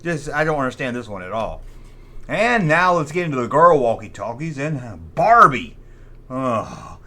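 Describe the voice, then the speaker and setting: American man, middle-aged, lively swooping delivery, folksy sarcastic toy-channel host. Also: medium pitch, a little slow. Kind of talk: casual humorous commentary.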